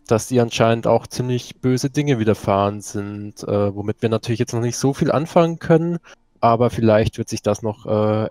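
A man playing a tabletop game, casually recapping events in a halting way, searching for his words. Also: on the low side, a little mellow, no room sound. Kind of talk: casual spoken session recap.

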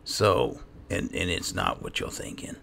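Very deep, gravelly man, slow talker. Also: sing-song, fairly bright tone, a little hiss or hum. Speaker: gamer narrating in character as he plays. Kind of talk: roleplay video game commentary. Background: game music.

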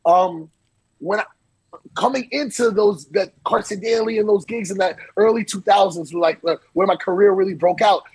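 A man's voice: high-pitched, moderate pace, husky, no echo, rough recording. A man in his forties, a DJ guest on a casual podcast, talking over a video call from a small room at home, swearing freely and repeating himself as he tells stories. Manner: animated, hand-waving storyteller, swears freely and repeats himself. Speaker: DJ and interview guest.

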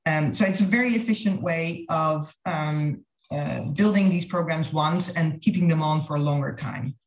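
A woman's voice: low-pitched, moderate pace. A woman giving a businesslike, explanatory slide presentation over a video call. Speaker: marketing consultant presenting a case study. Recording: roomy, echoey video-call audio.

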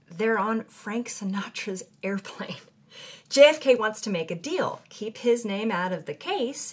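A woman giving a theatrical, scripted narration of a memoir, dropping to a breathy hush in quiet moments. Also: medium pitch. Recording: music bed under the voice.

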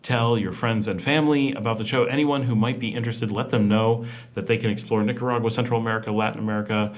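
A man's voice: fairly low pitch, fairly even intonation, quick pace. A man hosting a vlog, narrating a walking tour in a casual voice-over that rambles with many fillers and restarts. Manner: chatty and informal. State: rushed. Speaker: vlog host.

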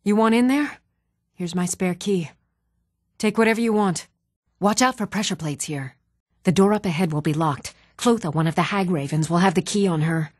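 An actress playing an earnest young townswoman and adventurer in a fantasy video game, with a measured, serious delivery. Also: lowish voice, no echo, clean studio sound, close to an everyday voice. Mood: guarded, firm. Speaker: voice actor. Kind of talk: video game character dialogue.

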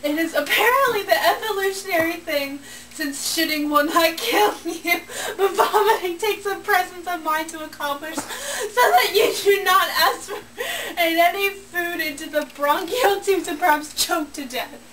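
A woman's voice: extremely high pitch, unhurried, fairly bright tone. A young woman reading a comic story aloud in a giggly manner, in a bedroom. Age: young adult. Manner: giggly, breaking into laughter while reading. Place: small bedroom, indoors. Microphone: handheld camera a short way off. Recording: distant mic, noticeable room noise.

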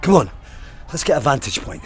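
A stern Scottish police sergeant, swooping pitch. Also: male voice, very animated, fairly quick. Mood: urgent. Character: stern, haunted police sergeant, grimly suspicious and commanding. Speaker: actor in audio drama. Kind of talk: full-cast audio drama acting.

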